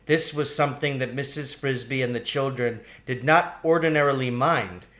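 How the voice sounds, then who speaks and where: A man narrating a children's storybook in a deliberate, expressive way. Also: medium pitch. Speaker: audiobook reader.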